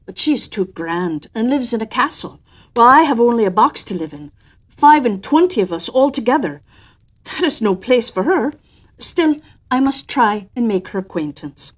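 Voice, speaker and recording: A woman's voice: on the high side, very animated, moderate pace. A woman voicing an earnest, lovestruck little tin soldier musing to himself in a storybook reading. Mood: lovestruck, resolute.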